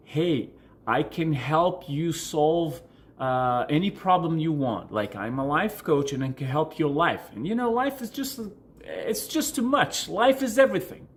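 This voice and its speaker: Male online coach, native Portuguese speaker, mid-pitched. Informal explainer, repeats for emphasis. Noisy ambient recording. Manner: repeats and rephrases words for emphasis. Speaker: online business coach, video host. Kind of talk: informal explanatory talk to camera answering questions.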